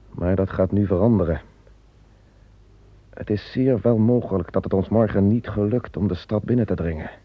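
A grave, commanding emperor who worries more about his soldiers' love than his own victory. His voice sits very low and husky, in a dry, close room. It has the dull sound of an old radio play. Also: no room sound. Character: superstitious brooding male emperor, commanding. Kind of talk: radio drama acting.

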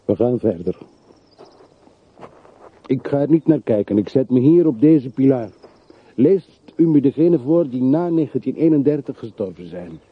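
A man's voice: medium pitch, animated, moderate pace, long pauses, slightly rough. An actor playing a bitter, world-weary middle-aged man in a radio play, speaking tersely and broodingly on an old recording. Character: bitter, world-weary man revisiting his hometown's dead. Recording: muffled, phone-like, noisy old radio recording.

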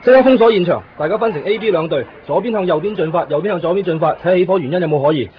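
Actor as fast, high-voiced Hong Kong fire captain. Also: male voice, lightly acted. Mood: commanding. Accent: Hong Kong Cantonese. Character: male fire brigade captain, brisk, dismissive, downplaying. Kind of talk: 1990s radio drama acting. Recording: muffled radio recording with clear background noise.

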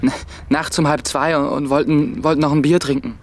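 Guarded, halting teenage son. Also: male voice, a little high, steady tone. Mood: uneasy, confessing. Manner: halting, stammering. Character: uneasy, guarded sixteen-year-old son. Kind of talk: radio play acting.

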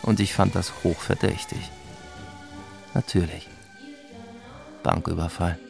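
A man's voice: sits low, steady tone, slow, vocal fry, full character voice. An actor playing a laconic police officer who narrates his own story in a dry, wry way for a radio drama. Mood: dry, pensive. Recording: music behind the voice. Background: music.

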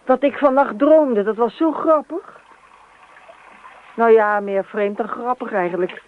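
An actress in a Dutch radio play, playing a dreamy, chatty wife who rambles on insistently and repeats herself. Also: female voice, on the high side, a little slow, lightly acted. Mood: light, chatty. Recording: tinny band-limited radio recording with hiss.

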